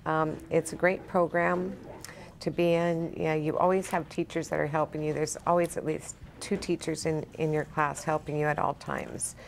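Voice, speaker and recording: Middle-aged woman, student, very creaky, narrow intonation, casual interview testimonial, slight ambient noise. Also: sits low, little pitch movement, normal speed. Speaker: college student. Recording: faint background noise and room ambience.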